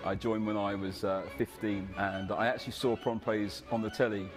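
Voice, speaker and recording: A man's voice: fairly low pitch, level tone, moderate pace. A middle-aged man, a musician, talking casually in an interview. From Southeast London. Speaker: musician, conductor. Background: music under the voice.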